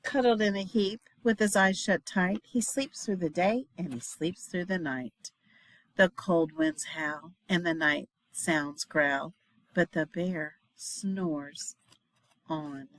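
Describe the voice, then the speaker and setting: A woman reading a children's picture book aloud in a dramatic, expressive way, dropping into hushed refrains. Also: fairly low pitch, slow, no room sound, poor audio. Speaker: storybook reader, teacher.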